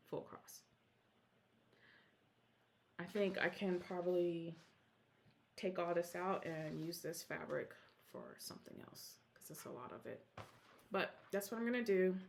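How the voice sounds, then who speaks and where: A woman whose first language is Korean talks casually in a vlog, rambling and breaking off mid-thought. Her voice sits a little low with a gravelly, creaky edge. She takes her time, speaking slowly. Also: slight background noise. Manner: chatty, rambling, self-interrupting. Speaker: Flosstube vlogger. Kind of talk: casual show-and-tell vlog.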